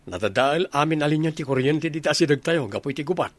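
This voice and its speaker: A man's voice: a little high, lively intonation, quick pace, dry recording. A man playing a homesick young soldier in a radio drama, speaking earnestly and conversationally. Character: young soldier longing to go home.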